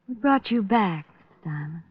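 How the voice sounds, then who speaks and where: Husky radio femme fatale. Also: female voice, mid-range voice, animated, normal speed. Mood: sultry, teasing. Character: flirtatious, materialistic wife in a detective story. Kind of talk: 1940s-50s radio detective drama acting. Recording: muffled old radio recording, faint music.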